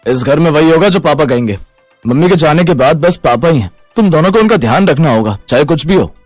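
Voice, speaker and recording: Man as a fast-talking, dutiful young husband. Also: fairly high pitch, lively intonation, darkish tone, no echo, soft background music, pitched above the performer's own voice. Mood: firm. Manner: earnest, explanatory. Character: dutiful young married son, anxious to please father. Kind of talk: cartoon moral story dialogue.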